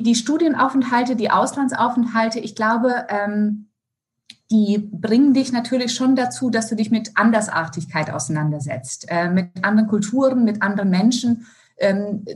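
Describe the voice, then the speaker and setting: A woman from Brazil answers interview questions at a measured, reflective pace. She talks with many fillers and restarts and works English phrases into her answers. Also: mid-range voice. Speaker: guest, finance executive.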